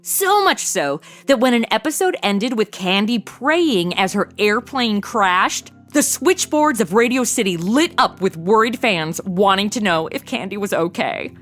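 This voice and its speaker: A woman's voice: mid-range voice, a bit bright. A woman reading scripted, informative narration as the narrator of an audio show.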